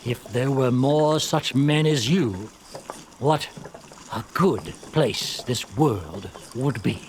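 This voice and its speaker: A man's voice: medium pitch, takes it slowly, a little hiss or hum, pitched below the performer's own voice, natural voice. A man voicing a grand, melancholy king in a storybook tale, speaking in a stately, emphatic, wistful way. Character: grand, melancholy king envying a humble miller. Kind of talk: storybook character voice.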